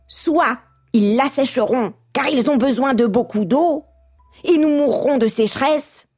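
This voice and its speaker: Woman playing a grim, high-voiced frog. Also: breathy edge. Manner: explanatory, ominous. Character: grim female frog reasoning out coming doom.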